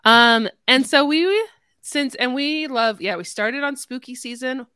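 A woman's voice: high voice, lively intonation, a little slow, pushed and bright, smooth, no room sound, studio quality. A woman hosting a podcast in a casual, chatty style. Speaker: podcast host.

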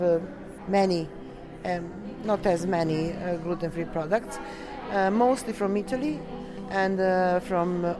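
A woman from Serbia with a Serbian accent, answering interview questions in halting, non-native English as a delegate. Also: medium pitch. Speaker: coeliac association delegate being interviewed.

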